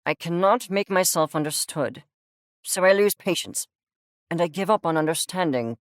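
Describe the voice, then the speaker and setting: A woman plays an obsessive little creature of science for a video game. The delivery is pompous and clipped, with exact academic diction and lively swings in pitch. The temper is coldly curious and scornful, heard in a dry, close studio sound. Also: moderate pace, dry recording, natural voice. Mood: reflective. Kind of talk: video game character voice lines.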